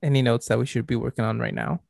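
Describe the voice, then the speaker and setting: A young man co-hosting a podcast, teasing his friend with quick comebacks in casual chat. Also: medium pitch, big pitch swings, gravelly, darkish tone, dry recording, studio quality. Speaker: podcast co-host.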